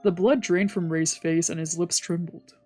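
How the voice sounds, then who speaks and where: A woman's voice: fairly low pitch, fairly even intonation, moderate pace, dark tone, faint music behind. A woman reading visual novel narration aloud as a let's player. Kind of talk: scripted narration, read aloud.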